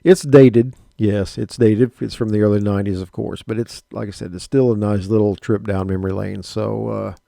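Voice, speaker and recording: A man with a low, very mellow voice and a gravelly creak speaks casually and haltingly. He sounds like he is making an informal introduction, recorded dry and close. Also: steady tone, dry recording. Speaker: uploader introducing an old tape.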